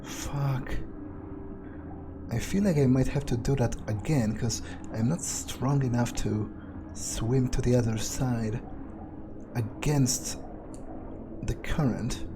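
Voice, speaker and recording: A man's voice: medium pitch, takes it slowly, background noise. A man thinking aloud in character as a brooding, grumbling vampire during a game playthrough, hesitant and prone to swearing. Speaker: let's player.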